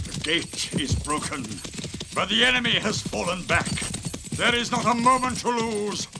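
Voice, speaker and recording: A British man playing a resolute warrior king in a radio fantasy drama, gravelly and commanding. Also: high voice, lively intonation, normal speed. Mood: urgent, commanding. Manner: declamatory, commanding. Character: noble warrior king, resolute battle leader. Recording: noisy battle sound effects with horses.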